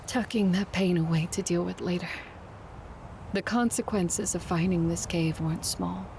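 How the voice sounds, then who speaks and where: A woman acts out a stoic female vampire who leads and narrates with grave control. Her voice sits on the low side and her phrasing is formal and measured. Clear noise runs behind this audio drama performance. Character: stoic, commanding female vampire warrior, grieving but controlled. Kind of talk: first-person audio drama narration and dialogue.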